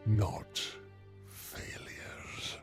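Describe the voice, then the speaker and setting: A man playing a sinister alien schemer in an audio drama, gravelly and halting, servile yet sly. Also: a little mellow, whispered. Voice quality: gravelly, breathy at times. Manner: halting, deliberate phrasing. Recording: music under the voice. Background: music.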